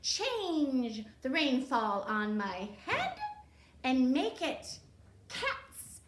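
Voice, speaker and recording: Storybook witch acted by a woman, high swooping singsong incantations, drawn out and commanding. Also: very animated, extremely slow, exaggerated voice. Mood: theatrical, commanding. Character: dramatic young witch chanting rhyming spells. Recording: roomy echo, faint background noise.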